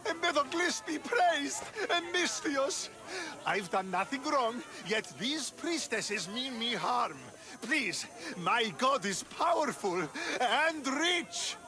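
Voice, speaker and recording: An actor playing a fanatically devoted, excitable cult follower in a video game, pompous and fervent. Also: male voice, extremely high pitch, slow pace, heavily acted. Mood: pleading, reverent. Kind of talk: video game cutscene acting.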